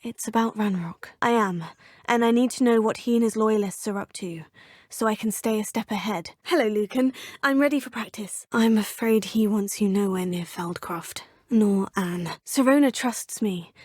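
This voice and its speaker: A woman's voice: medium pitch, normal speed, darkish tone, no echo, clean studio sound, close to an everyday voice. A woman voicing a young witch student in a video game, speaking in a polite, formal and inquisitive way. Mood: earnest. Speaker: player character in a video game.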